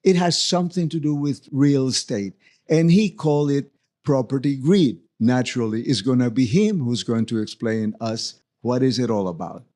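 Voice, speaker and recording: A man's voice: medium pitch, moderate pace, mellow. Effusive man hosting an interview show. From El Salvador. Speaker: show host.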